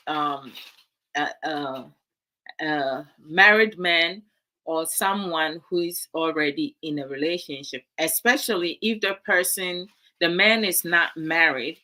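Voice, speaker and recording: A woman's voice: lowish voice, pushed and bright. A woman from Ghana, giving casual commentary to her live viewers in a musing, hesitant way with many fillers. Her is Twi. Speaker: vlog host.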